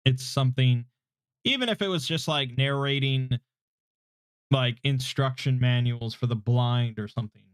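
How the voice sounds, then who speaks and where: Laid-back guy around 30 doing a q&a, lots of ums, calm relaxing voice, dead dry room, mic a bit muffled. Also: mid-range voice, normal speed, clear, resonant tone, dry recording. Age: 30s. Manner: relaxed, hesitant, self-deprecating, many fillers. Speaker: YouTube host answering viewer questions. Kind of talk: casual live Q&A chat. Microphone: desk microphone without his usual equalizer, slightly muffled.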